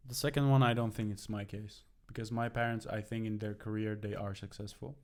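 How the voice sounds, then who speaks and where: A man's voice: on the low side, steady tone, fairly quick. A man from Iran in casual podcast conversation, speaking earnestly and reflectively. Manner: reflective, earnest, quotes his mother.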